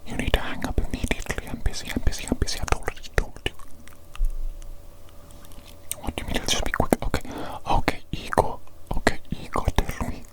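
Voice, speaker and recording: A woman voicing a pompous, fussy evil doctor in a whispered ASMR roleplay, breathy and given to repeating phrases emphatically. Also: brisk, natural voice. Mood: exasperated, irritated. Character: pompous, exasperated evil doctor, self-proclaimed mad scientist genius.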